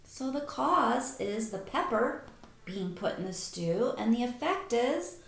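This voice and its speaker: Female primary-style read-aloud teacher: slow, very lively intonation, child-directed questions, roomy echo. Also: a little high, gravelly. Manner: animated, simple child-directed phrasing. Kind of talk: expressive picture-book read-aloud with questions. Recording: echoey room.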